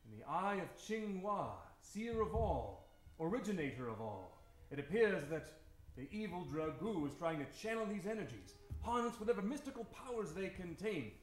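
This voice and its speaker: Man playing a high-voiced, animated know-it-all adventurer. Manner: declamatory, dramatic pauses. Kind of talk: improvised old-time radio adventure parody. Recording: roomy live stage sound.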